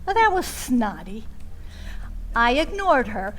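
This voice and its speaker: A woman telling a first-person story in a theatrical way, with dramatic, deliberate delivery, pauses and little asides. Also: on the high side, big pitch swings, slight background noise, poor audio.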